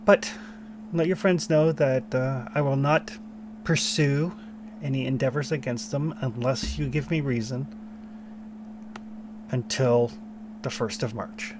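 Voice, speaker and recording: A man game master voicing a calm, scheming woman cult leader whose first language is Arabic, cool and courteous. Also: medium pitch, slow pace, pauses often, background noise, lightly acted. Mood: cool, polite.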